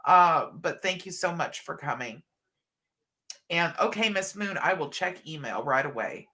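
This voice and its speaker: Stuffy scratchy-voiced woman doing a late night livestream, bright tone, chatty and sweet, calls folks hun. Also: sits low, lively intonation, unhurried. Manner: affectionate, chatty, calls viewers hun and honey. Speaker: livestream host. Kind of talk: casual livestream chat reading comments.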